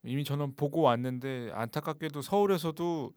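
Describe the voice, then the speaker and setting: A man's voice: fairly high pitch, no room sound. Playful podcast host man, dry close sound. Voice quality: brisk, playful, with steady short agreements. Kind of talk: casual podcast chat, frequent backchannel.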